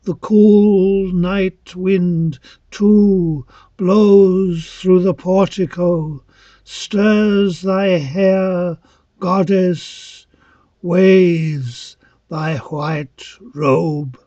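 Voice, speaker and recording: A man reciting dramatic poetry as an awed, devout young reveller of ancient Greece, solemn and declamatory in halting phrases. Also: high-pitched, fairly even intonation, warm tone, no echo. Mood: rapt, dreamy. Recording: dull lo-fi, dry close recording.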